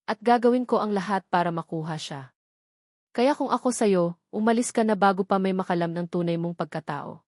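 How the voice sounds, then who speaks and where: A woman's voice: medium pitch, brisk, darkish tone, no room sound, studio quality, close to an everyday voice. A woman voicing a sarcastic, jealous rich young woman who threatens a rival and slips English into her speech. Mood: threatening.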